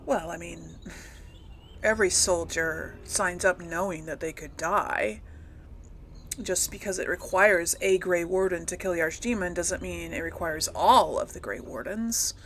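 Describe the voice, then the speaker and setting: A woman's voice: fairly low pitch, measured pace, a bit bright, slight background noise. A woman giving casual, musing commentary as she role-plays through a video game. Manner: musing, thinking aloud. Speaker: gaming video host.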